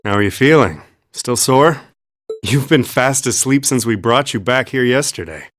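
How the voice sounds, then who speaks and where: A man's voice: mid-range voice, lively intonation, moderate pace, fairly bright tone, slight background noise, natural voice. A man playing an easygoing, friendly young ally in an anime-style game, casual and breezy. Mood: friendly concern.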